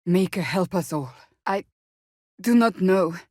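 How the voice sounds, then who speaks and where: Stern, low-voiced warrior woman. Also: expressive, a little mellow, no echo, clean studio sound. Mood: urgent, grave. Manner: formal, measured, earnest diction. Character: stern, devout, forthright warrior woman of strong convictions. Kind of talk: fantasy video game voice acting.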